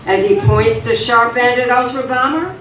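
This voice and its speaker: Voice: medium pitch, normal speed, a bit bright. In an echoey room with faint noise, a narrator reads a radio play. The delivery is scripted dramatic storytelling, picked up at a distance from the mic.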